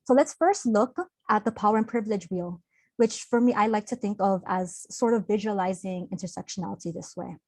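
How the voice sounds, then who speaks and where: A woman's voice: medium pitch, fairly quick, darkish tone, dry recording. A young woman giving an online workshop talk over a video call, earnest and open, chatting naturally with fillers. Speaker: workshop presenter.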